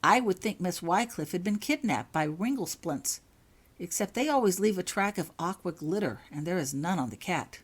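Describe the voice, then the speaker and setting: A woman's voice: on the low side, expressive, normal speed, breathy edge. A woman voicing a dreamy, eccentric woman professor of magic in a storybook reading, whimsical and earnest. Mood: puzzled.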